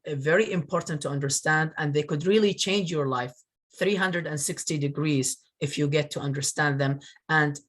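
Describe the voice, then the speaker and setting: A man from northern Iraq giving a webinar over a video call, earnest and grateful, often pausing with fillers. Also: on the high side, a bit bright. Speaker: webinar presenter, career coach. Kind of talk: presentation.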